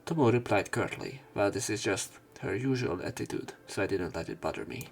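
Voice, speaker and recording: Male let's play narrator, low and creaky, reads game text aloud casually, faint music behind. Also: animated, fairly quick. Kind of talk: reading a visual novel aloud with casual commentary.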